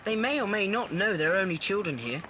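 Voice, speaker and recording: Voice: mid-range voice, lively intonation, fast talker, close to an everyday voice. A performer playing a sensible, reassuring older boy in a children's adventure radio play. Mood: alert, reasoning. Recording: noisy old cassette transfer.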